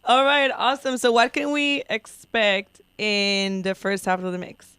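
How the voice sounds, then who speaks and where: A bubbly woman hosts a college radio show, teasing her guest and laughing easily. Her voice comes through smooth and clear, recorded close and dry on a studio mic. Spanish is her first language. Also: a little high, level tone, unhurried, a bit bright, no room sound. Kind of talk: casual radio interview.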